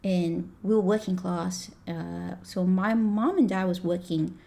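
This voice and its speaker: A woman in her thirties from Malaysia talks as a podcast guest, slightly low in pitch. Her accent slides between Australian, British and American. She rambles easily, full of fillers and quick restarts. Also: vocal fry, mellow. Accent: mixed Australian, British and American. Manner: chatty, laughing, frequent fillers and restarts. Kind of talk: casual chat.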